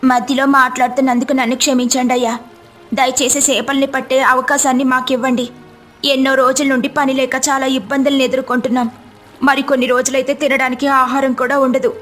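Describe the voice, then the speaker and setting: A woman voicing a poor, devout village wife in a moral story, gentle, respectful and plaintive. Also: high-pitched, little pitch movement, brisk, soft background music. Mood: pleading.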